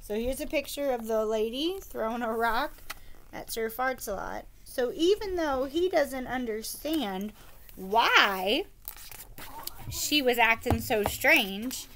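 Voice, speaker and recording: A woman's voice: a little high, lively intonation, slow pace, a bit bright, a little hiss or hum. A woman reading a storybook aloud to children and explaining it plainly. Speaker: read-aloud reader for children.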